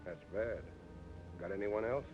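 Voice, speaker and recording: Deep-voiced menacing noir crime boss played by an actor. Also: male voice, over music, lightly acted. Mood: quietly menacing. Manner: terse, slow-burning veiled threats. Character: menacing crime boss, soft-spoken, falsely hurt and sly. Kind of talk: mid-century film noir acting. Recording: noisy dull old film soundtrack.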